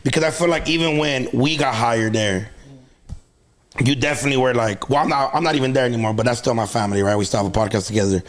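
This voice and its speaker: A comedian hosting a podcast, talking loosely and rambling with many restarts. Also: male voice, medium pitch, fast talker. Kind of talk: casual podcast discussion.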